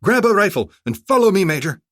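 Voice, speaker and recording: A man's voice: a little high, big pitch swings, moderate pace, a bit bright, dry recording, studio quality, voice pushed up. A man voicing a ruthless gloating army colonel. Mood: shouting. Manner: barking orders, gloating.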